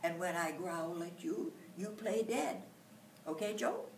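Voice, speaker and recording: A woman voicing a caring mother possum in a storybook, gentle and motherly. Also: lowish voice, measured pace, a little hiss or hum. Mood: coaxing. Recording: roomy, distant mic.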